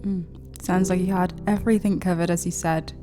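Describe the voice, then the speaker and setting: A woman's voice: on the low side, steady tone, fairly quick, warm tone, soft background music, natural voice. A woman playing a wary, sardonic wronged woman with a dry, sceptical delivery in an audio drama. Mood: displeased. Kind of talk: dramatic dialogue.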